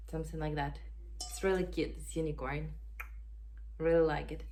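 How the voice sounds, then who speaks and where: A woman with a Ukrainian accent hosting a knitting podcast from home, chatting casually and pausing to search for words in English. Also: sits low, a little mellow. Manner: unhurried, searching for words, non-native grammar. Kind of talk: casual show-and-tell chat. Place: home in Denmark. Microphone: camera.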